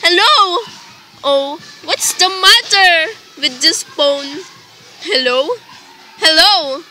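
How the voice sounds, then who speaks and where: Woman acting a high-voiced, frantic invalid wife. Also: animated, full character voice, rough recording. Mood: exasperated, nervous. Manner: melodramatic, indignant, slow deliberate emphasis. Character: nervous, demanding bedridden invalid wife, frightened and indignant. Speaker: student actor in a radio play. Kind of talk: radio play acting.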